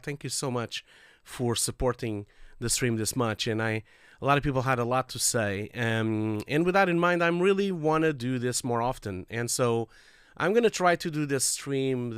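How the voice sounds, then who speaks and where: A man's voice: mid-range voice, a bit bright, clean tone, dry recording. A man hosting a livestreamed call-in show in casual, upbeat chat with viewers, nasal and congested from a cold, his breathing audible on a close microphone. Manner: chatty and upbeat, addressing viewers directly. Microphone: close streaming microphone.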